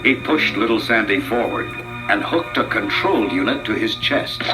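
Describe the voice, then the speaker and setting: A man reading a children's adventure storybook as narrator, in a dramatic, theatrical, measured way. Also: fairly low pitch, animated, music in the background, rough recording.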